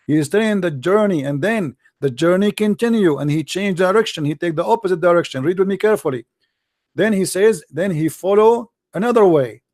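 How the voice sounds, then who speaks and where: A man's voice: high-pitched, lively intonation, darkish tone, dry recording. Insistent argumentative man, dry close sound. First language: Arabic. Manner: slows down to read scripture aloud. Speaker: host. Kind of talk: live call-in debate.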